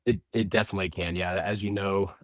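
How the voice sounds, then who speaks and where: A man gives interview answers in a lowish voice. He explains things at length and often restarts his sentences. The sound is dry and close. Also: fairly even intonation, fast, no echo. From Indianapolis, USA. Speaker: company representative.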